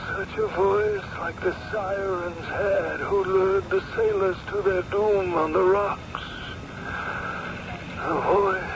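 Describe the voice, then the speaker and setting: An actor playing a tormented, frantic old professor with a strained, quavering delivery in an old-time radio drama. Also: male voice, high-pitched, exaggerated voice. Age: older. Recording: noisy, muffled old radio recording.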